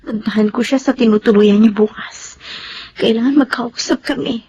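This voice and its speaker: A woman's voice: a little high, fairly even intonation, normal speed, warm tone, slightly rough, lo-fi sound. A woman acting an anxious, pleading young mother in a Tagalog radio drama. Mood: worried. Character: anxious young single mother, pregnant, eager to marry.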